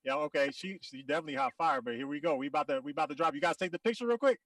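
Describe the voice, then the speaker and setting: A man's voice: a little high, big pitch swings, very fast, crowd noise. A man hosting a group video call, chatting casually and rapping. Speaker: host and rapper. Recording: distant video-call sound over music.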